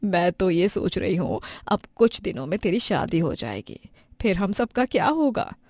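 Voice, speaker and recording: A woman voicing a middle-aged mother who speaks softly and teases her daughter. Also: lowish voice, very animated, moderate pace, no room sound, clean studio sound. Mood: fond.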